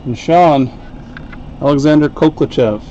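A man hosting a live card break, calling out each card in an unhurried, chatty way. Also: fairly high pitch, dark tone, lo-fi sound, slight background noise. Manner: unhurried, chatty play-by-play while opening packs. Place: home card-break room, indoors.

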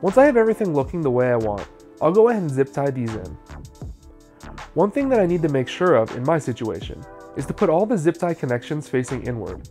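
A man's voice: on the high side, very animated, quick pace, warm tone, soft background music. A man, narrating a how-to video in a scripted, explanatory style.

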